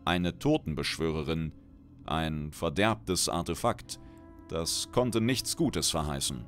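Male narrator, deep register, dramatic scripted fantasy read with background music. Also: slow pace, fairly bright tone. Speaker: audiobook narrator.

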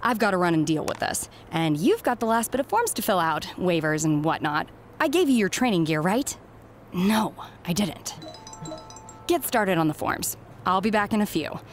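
A woman playing a harried middle-aged recruiter in a video game cutscene, brisk, distracted and businesslike. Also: fairly low pitch, slight background noise. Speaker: head recruiter.

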